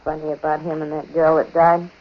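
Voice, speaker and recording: An actress playing an anxious, superstitious wife in a 1940s radio drama, speaking in hesitant, naturalistic phrases that trail off. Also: female voice, low-pitched, little pitch movement, a little fast, natural voice. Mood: wondering, uneasy. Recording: muffled, noisy vintage 1940s radio broadcast.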